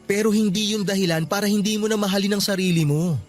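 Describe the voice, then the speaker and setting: A man acting as a protective young Filipino office guy in a radio drama. His voice sits high and moves quickly, earnest and emphatic as he looks out for his friend. Light music plays underneath. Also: steady tone, close to an everyday voice. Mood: indignant, protective. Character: caring, protective young male office worker, indignant friend.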